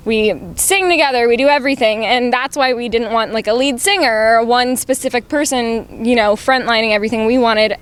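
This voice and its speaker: A woman's voice: fairly high pitch, brisk, few pauses, clear, resonant tone, rough recording. A young woman in a band talking earnestly, with frequent "like" fillers. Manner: earnest, fills with "like". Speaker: band member. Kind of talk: casual interview chat. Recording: faint background noise.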